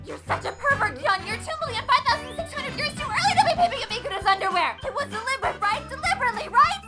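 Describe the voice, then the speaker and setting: A very high, swooping voice playing an exuberant teenage schoolgirl in an anime fandub. She is bossy, brash and teasing, the boastful leader of a club. Loud music plays behind her. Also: expressive, quick pace. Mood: teasing, scolding.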